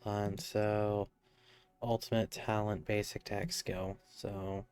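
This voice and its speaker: A man's voice: on the low side, level tone, slow pace, darkish tone, clean tone. A man giving casual, rambling live commentary as he plays a game on stream. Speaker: livestreamer playing a game. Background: faint game music.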